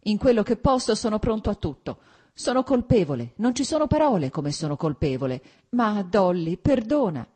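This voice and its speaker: Woman reader as remorseful husband, timid, imploring, tremulous, lifted pitch, slightly husky, literary audiobook. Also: sing-song, moderate pace, dark tone, full character voice. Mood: desperate pleading. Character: contrite, timid, pleading unfaithful husband.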